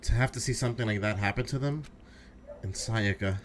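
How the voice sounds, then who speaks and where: A man's voice: fairly low pitch, fairly quick, a little hiss or hum, natural voice. A man voicing an earnest, anguished teenage schoolboy protagonist in a fan dub of a video game. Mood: hurt, brooding. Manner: earnest, emotional.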